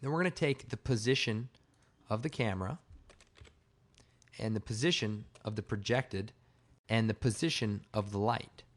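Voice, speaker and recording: A man with a slightly hoarse, raspy voice giving a casual, informal software tutorial, explaining step by step. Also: lowish voice, moderate pace, pauses often. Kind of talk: casual screen-recorded tutorial narration.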